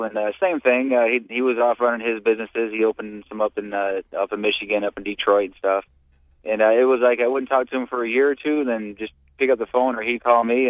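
A low-voiced American man reminisces casually as a radio caller. His delivery is halting, with stammered, repeated words. The sound is narrow and phone-like, dry and without room echo. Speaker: radio call-in listener. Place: on a phone call to a radio studio. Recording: muffled phone-like call-in line.

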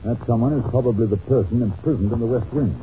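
An actor playing an authoritative, analytical detective in an old-time radio drama, incisive and commanding in manner. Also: male voice, medium pitch, natural voice. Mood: grave, analytical. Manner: incisive, commanding, deliberate in deduction. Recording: muffled noisy old radio recording.

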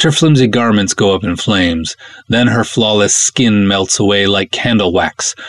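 A man's voice: lowish voice, a bit bright, slightly rough. An American man from Minnesota with a playful, theatrical manner, reading and hosting expressively as a podcast host. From Minnesota, United States.

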